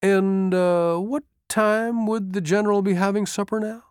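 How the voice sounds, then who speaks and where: A man's voice: sits high, steady tone, unhurried, darkish tone, clean tone, no room sound, clean studio sound, voice pushed up. A man voicing a lean army sergeant in an audiobook, wheedling and deferential in a folksy way. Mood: hopeful. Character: coaxing, grinning, lean army sergeant, male aide.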